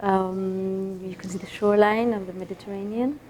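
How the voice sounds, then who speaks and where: Woman artist from Israel, warm mellow voice, reflective, halting. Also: medium pitch, steady tone. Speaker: video artist. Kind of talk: interview.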